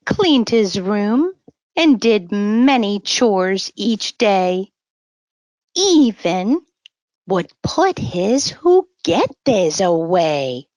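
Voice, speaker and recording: A woman reading a bilingual children's story aloud in a teacherly way, with simple, deliberate phrasing. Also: on the high side, dry recording. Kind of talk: read-aloud bilingual children's story with word-repeat prompts.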